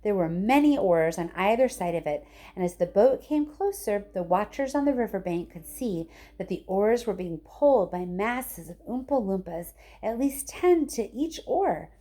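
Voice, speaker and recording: A woman reading a children's storybook aloud with expressive narration. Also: mid-range voice, normal speed. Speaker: teacher reading a book aloud.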